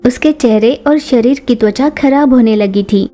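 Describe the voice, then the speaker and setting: Casting: woman storyteller for kids' cartoons, fairly high voice with a warm, dark-edged softness. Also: moderate pace, faint music behind. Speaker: narrator. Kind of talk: scripted children's story narration.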